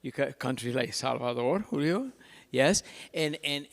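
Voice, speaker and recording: A man's voice: medium pitch, animated, measured pace, vocal fry. A man moderating a conference panel in an informal, chatty way, often repeating his words. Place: large conference hall. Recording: reverberant hall, PA sound.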